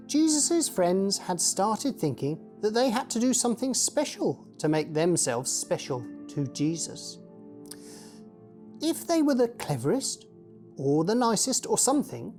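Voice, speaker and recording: Gentle woman reading a children's story. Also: mid-range voice, sing-song, a little slow, faint music behind. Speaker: story reader.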